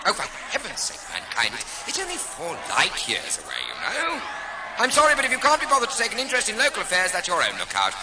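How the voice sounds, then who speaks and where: An actor playing an officious, pompous alien bureaucrat with an exasperated manner in a science fiction radio comedy. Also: male voice, high-pitched, wildly swooping pitch, fast, noisy background. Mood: irritated, contemptuous. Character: officious, bored male alien bureaucrat commanding a demolition fleet.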